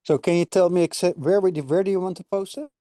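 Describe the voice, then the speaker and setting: A man's voice: sits high, steady tone, quick pace, warm tone. A middle-aged man from the Netherlands, a guest explaining things on a video call and often checking in with "correct?". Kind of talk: casual call conversation.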